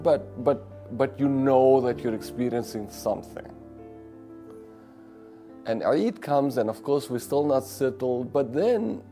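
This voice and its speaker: A man in his forties with a South African accent, Afrikaans his first language, reflecting in an interview with many fillers. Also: mid-range voice. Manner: reflective, warm storytelling with frequent fillers. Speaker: diplomat. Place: living room, indoors. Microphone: lapel.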